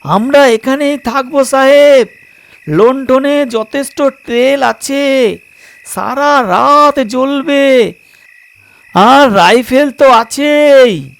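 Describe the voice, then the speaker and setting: A man voicing a steadfast, loyal hill porter in an audiobook, emphatic and drawn-out. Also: very high voice, creaky, fairly bright tone, voice pushed up, heavily acted. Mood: firm, defiant. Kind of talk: audiobook character voice.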